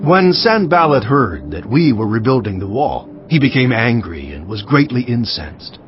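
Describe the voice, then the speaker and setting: A man's voice: mid-range voice, very animated, moderate pace, highs cut off, music in the background. A man giving a dramatized scripture narration for an audiobook.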